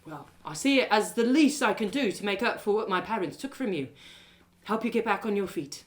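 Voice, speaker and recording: A woman's voice: mid-range voice, expressive, brisk, lightly acted. A woman voicing a glum young male cobbler in a storybook drama, wounded but kind, with a plain-spoken, rueful way of talking. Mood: kindly. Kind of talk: storybook audio drama character.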